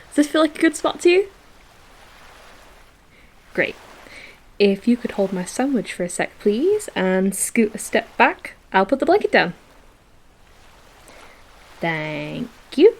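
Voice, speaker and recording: A woman's voice: medium pitch, very animated, slow pace, pauses often. A woman acting a playful, affectionate girlfriend in a romantic audio roleplay, with a theatrical, teasing manner. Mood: content, doting. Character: playful, dramatic, affectionate girlfriend on a beach picnic. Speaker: voice actor.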